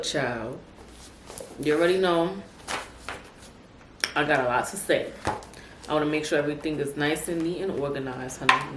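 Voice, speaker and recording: Chatty low-voiced New woman, roomy. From Brooklyn, New York City. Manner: chatty, animated asides like "child" and "honey". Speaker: podcast host. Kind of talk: casual chat. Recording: echoey room with faint noise.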